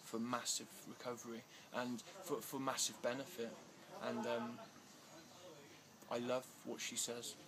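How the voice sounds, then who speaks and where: A man's voice: mid-range voice, little pitch movement, measured pace, crowd noise, low-quality recording. A man answering interview questions with many fillers and restarts, growing agitated as he talks. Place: outdoors in India.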